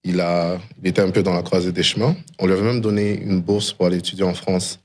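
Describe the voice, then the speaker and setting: A man's voice: low voice, flat delivery, fast. A middle-aged man from DR Congo and Canada speaks as an interview guest, telling a personal story reflectively and mixing English phrases into his French. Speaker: interview guest, tech founder.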